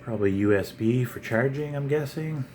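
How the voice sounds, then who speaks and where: A man hosting a casual, unscripted unboxing vlog, talking in a halting way with frequent pauses. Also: mid-range voice. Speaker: video channel host. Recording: clear background noise.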